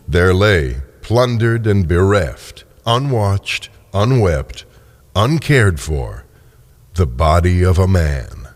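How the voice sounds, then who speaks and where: A man reading dramatic scripted narration as a storyteller, with a long hall-like reverb on the voice. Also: sits low.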